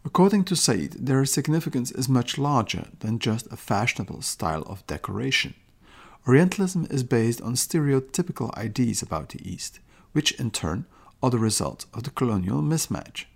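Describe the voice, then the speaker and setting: A man with a gravelly, low-middle voice delivers a podcast lecture. He explains steadily and carefully, now and then restarting a phrase before carrying on. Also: sing-song, moderate pace. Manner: quotes passages aloud. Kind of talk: lecture-style podcast narration.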